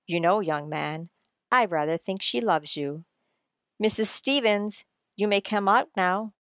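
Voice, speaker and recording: A woman playing a shrewd writer-sleuth who explains things theatrically and deliberately in an old-time radio mystery. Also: mid-range voice, expressive, dry recording, studio quality. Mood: knowing, suave.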